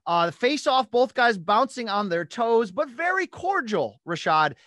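A man's voice: very high-pitched, sing-song, a little slow, sharp tone, no echo. An American man in his forties hosting a sports podcast, giving punchy, emphatic breakdowns and putting questions to his guest. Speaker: podcast host, interviewer. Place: small home studio with posters. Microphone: studio mic on a boom arm, close.

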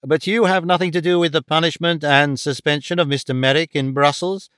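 A man acts out a confident detective for an audiobook. The voice is pitched up from a narrating tone and very clean. He questions people with assured, formal courtesy. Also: steady tone, a little fast, fairly bright tone, clear, resonant tone, no room sound, clean studio sound, close to an everyday voice. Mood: polite, probing. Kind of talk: audiobook character dialogue.

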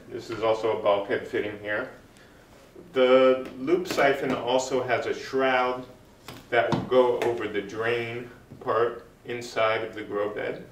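Slow-talking man demonstrating a plumbing build. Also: on the low side, reverberant, recorded from a distance, low-quality recording. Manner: unhurried, explains step by step while working with his hands. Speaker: DIY video host. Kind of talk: casual how-to demonstration. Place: apartment room and tiled bathroom, indoors.

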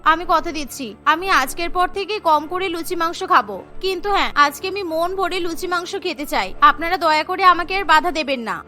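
A woman's voice: very high-pitched, fast talker, hardly any pauses, pushed and bright, faint music behind. A woman voicing a cheerfully defiant, food-obsessed young new bride in a cartoon. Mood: pleading, stubborn. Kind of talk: Bengali cartoon voice acting.

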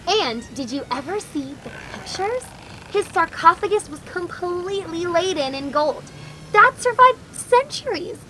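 A woman's voice: very high voice, lively intonation, measured pace, sharp tone, slight background noise, heavily acted, rough recording. A woman voicing an eager, bookish young cabin girl who talks in an earnest, explaining way. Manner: earnest, explaining, know-it-all. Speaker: puppet show character. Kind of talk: amateur puppet show voice acting.

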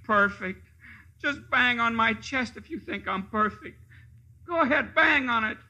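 A man playing an excitable, plaintive tin woodman in theatrical 1950s radio style, with drawn-out exclamations. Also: very high-pitched, gravelly, full character voice. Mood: mournful.

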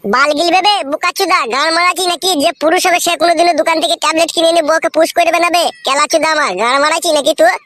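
Man doing a squeaky, fast-scolding cartoon buddy. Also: fairly even intonation, bright, forceful tone. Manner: foul-mouthed, hectoring. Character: scolding, exasperated young male friend. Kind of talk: crude comedy cartoon dialogue.

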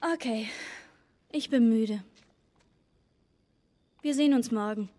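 A teenage girl surviving in a video game drama. Her voice is high and she speaks very slowly, with gentle, hesitant pauses. She is plain-spoken and caring. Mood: reassuring, candid. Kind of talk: German video game dubbing, naturalistic dialogue.

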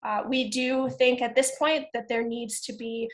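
A woman in her thirties giving a webinar presentation over a video call, speaking in a measured, earnest way with frequent ums and uhs. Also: on the high side, little pitch movement. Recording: echoey video-call audio.

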